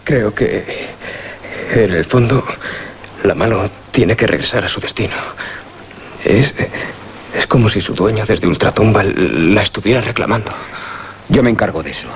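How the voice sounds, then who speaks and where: A man's voice: lowish voice, animated, moderate pace, long pauses. An actor playing an earnest, uneasy man caught up in a horror radio drama. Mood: grave, resolute. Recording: slightly noisy radio drama recording.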